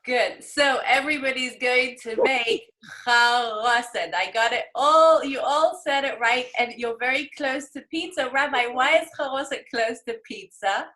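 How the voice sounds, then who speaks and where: A woman's voice: sits high, unhurried, sharp tone. A woman teacher leading children through an online class assembly, giving instructions and prompting them to answer.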